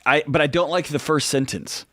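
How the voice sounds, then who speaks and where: A man's voice: fairly high pitch, normal speed, bright, forceful tone, no room sound, studio quality. A man in his forties co-hosting a radio talk show, talking earnestly and emphatically in casual chat. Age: 40s. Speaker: co-host.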